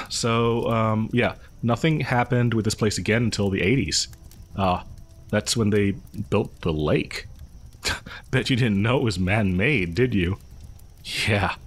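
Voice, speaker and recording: A man playing a friendly, awkward young local who shares grim rumors in a hesitant, rambling, conversational way for an audio horror roleplay. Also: lowish voice. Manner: hesitant, rambling, nervous chuckles.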